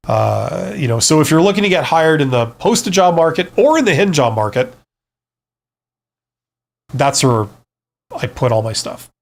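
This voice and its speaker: A man's voice: on the high side, big pitch swings, normal speed, gravelly, pauses often. A man hosting a casual live stream, giving frank, conversational advice and often checking in with the listener as he goes. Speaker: live stream host, career advisor and former CEO.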